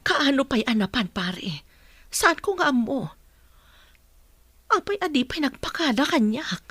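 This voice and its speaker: Audio drama role: patient, worried mother played by a woman, fairly high voice, earnest and measured, closely recorded. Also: sing-song, a bit bright, dry recording, exaggerated voice. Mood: concerned. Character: concerned, patient mother of daughters.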